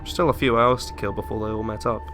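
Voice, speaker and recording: A man giving a dramatic, deliberate audiobook narration of a suspenseful horror story. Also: fairly low pitch, steady tone, fairly bright tone. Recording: music under the voice.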